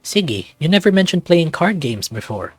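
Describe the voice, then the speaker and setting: A man's voice: on the high side, very animated, normal speed, husky, no echo, soft background music, voice pushed up. A man voicing a mild, agreeable young male houseguest who speaks courteously in a visual novel. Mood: polite.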